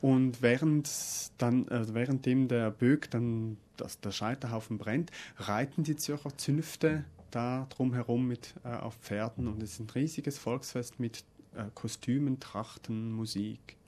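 Man explaining as interview guest, medium pitch. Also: a little slow, a little mellow. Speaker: guest expert.

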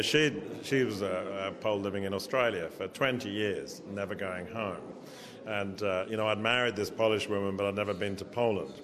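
A middle-aged man being interviewed, chatting casually in an anecdotal way full of fillers. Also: on the low side. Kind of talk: casual chat. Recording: crowd chatter behind.

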